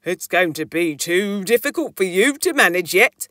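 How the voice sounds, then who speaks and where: A woman voicing an easygoing yet excitable father dinosaur, chummy and informal, in a children's storybook. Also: lowish voice, normal speed, no echo, clean studio sound. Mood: practical, kindly.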